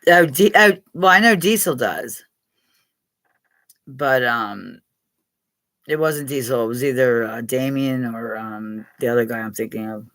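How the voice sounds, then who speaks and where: A woman's voice: rumbling low, measured pace, pauses often, fairly bright tone. Middle-aged American woman, chatty livestream host, rambling and giggly. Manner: rambling, chatty, laughs often, talks straight to viewers by name. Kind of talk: casual live chat with viewers.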